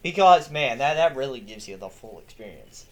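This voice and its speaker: A young man hosting a lore video, reading his script in a casual, excitable way, swearing freely and breaking into yells. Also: mid-range voice, normal speed.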